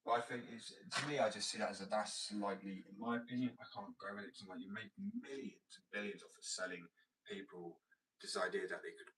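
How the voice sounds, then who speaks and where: A man's voice: low-pitched, fairly bright tone, recorded from a distance, poor audio. A British man chatting casually on a livestream, rambling and cutting himself off mid-sentence. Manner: rambling, self-interrupting, swears freely. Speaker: livestreamer reading chat. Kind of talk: casual stream chat while working.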